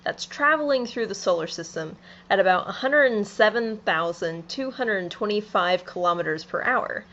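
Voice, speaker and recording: A woman's voice: mid-range voice, animated, moderate pace, a bit bright. A young woman about to turn twenty, musing in a casual, sleepy vlog monologue. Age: young adult. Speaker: vlogger. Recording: slightly dull home video audio.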